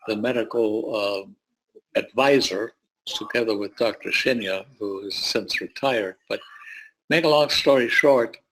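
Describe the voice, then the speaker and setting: An elderly man with a middle-pitched voice recounts his own history as an interview guest. He speaks in a wry, anecdotal, plain-spoken manner, like a retired doctor looking back on his career. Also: a bit bright, poor audio. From born in Poland, grew up in the United States. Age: 70s or older. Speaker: guest, retired surgeon and medical advisor. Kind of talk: autobiographical storytelling in an interview.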